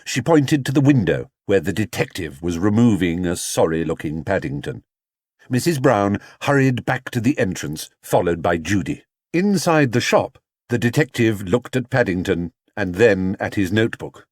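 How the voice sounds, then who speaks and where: Very animated man reading a children's story. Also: fairly low pitch, normal speed, no echo, studio quality. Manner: expressive, theatrical storytelling. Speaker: storybook narrator. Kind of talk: animated children's audiobook narration.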